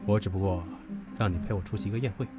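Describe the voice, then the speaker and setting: A man's voice: fairly low pitch, very fast, close to an everyday voice. An actor playing a brash, possessive, hot-tempered young man in an audio drama, goading and domineering. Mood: smug, goading. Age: young adult. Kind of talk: Chinese audio drama acting. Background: background music.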